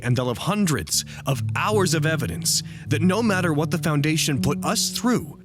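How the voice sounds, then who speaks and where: An actor playing an earnest, weary radio broadcaster at a trapped research site in an audio drama, speaking formally and wistfully. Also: male voice, on the high side, natural voice.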